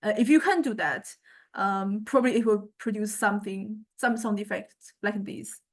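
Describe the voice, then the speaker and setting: A woman giving an informal software demonstration lecture, hesitantly thinking aloud and searching for words as she explains. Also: medium pitch, normal speed. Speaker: music technology lecturer.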